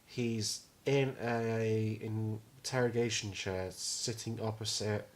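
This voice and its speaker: A man's voice: on the low side, steady tone, slow. Rambling young British man chatting casually. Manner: rambling, sweary. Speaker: podcast co-host. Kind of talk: casual chat.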